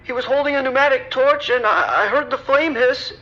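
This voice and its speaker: A woman playing a shaken construction worker on an emergency call in an audio drama, stammering and halting in bewilderment. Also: sits high, bright, forceful tone, muffled recording. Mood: shaken, bewildered. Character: shaken high-rise construction worker calling emergency services.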